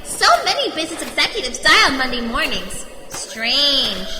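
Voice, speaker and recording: In a big echoing space with noisy ambience, a squeaky bat creature nags along with sardonic impatience. The pitch is high and swoops about in a lively way, brisk and bossy, in audio drama style. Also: female voice, heavily acted. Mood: impatient, bossy. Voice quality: squeaky, batty. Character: annoyed fanged bat guide of Hell, squeaky and bossy. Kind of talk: audio drama character acting.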